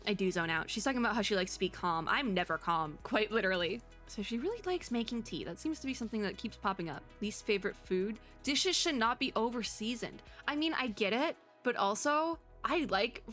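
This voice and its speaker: A woman streamer giving casual, chatty reaction commentary on a game, rambling and expressive in an excitable way. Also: mid-range voice. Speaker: streamer, VTuber.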